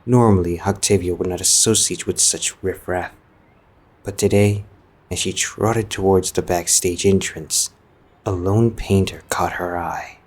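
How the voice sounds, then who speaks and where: A man's voice: low-pitched, fairly even intonation, a little slow, a little mellow, husky. A man narrating a scripted story as a storyteller. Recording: music behind the voice.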